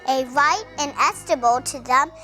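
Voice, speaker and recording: A child with a high voice reads a formal text aloud. Music plays faintly behind. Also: moderate pace, pushed and bright.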